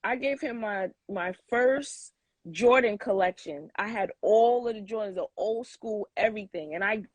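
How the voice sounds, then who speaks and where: A woman's voice: mid-range voice, normal speed, husky, dry recording. A woman in her forties chatting informally as an interview guest, rambling a little as she thinks aloud. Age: 40s. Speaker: interview guest, sneaker collector. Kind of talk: casual interview chat.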